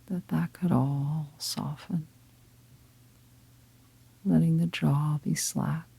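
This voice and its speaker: Lady doing guided meditation, really low n slow, mellow breathy near-whisper, gentle, lots of pauses. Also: no echo, poor audio. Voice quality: breathy, half-whispered, husky. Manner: calm, gentle, unhurried with long pauses. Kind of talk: guided meditation, slow soft-spoken instruction.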